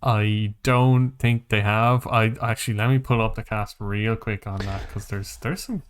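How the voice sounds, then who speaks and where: A man's voice: on the low side, fairly even intonation, measured pace, slight background noise. A man hosting a casual podcast about games and films, thinking aloud in a hesitant, rambling way. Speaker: podcast host.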